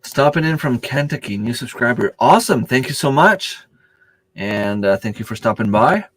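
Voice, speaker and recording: A man whose first language is French hosts a relaxed live stream chat. He talks in a middling voice into a dry, close-sounding setup, pausing often with fillers as he hunts for the right words. He answers his viewers' comments one by one. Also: mid-range voice, dry recording. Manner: hesitant, searching for words with many fillers and restarts.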